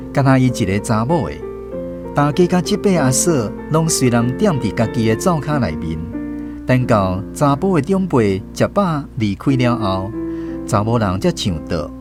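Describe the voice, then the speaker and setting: Expressive Taiwanese male narrator. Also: medium pitch, moderate pace. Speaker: radio drama narrator. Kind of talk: scripted storytelling narration. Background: acoustic guitar music.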